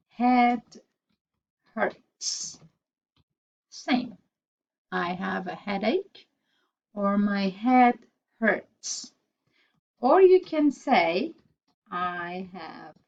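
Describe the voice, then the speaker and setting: A woman teaching English vocabulary, repeating each phrase slowly and carefully for learners. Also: medium pitch, highs cut off. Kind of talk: slow, deliberate vocabulary lesson.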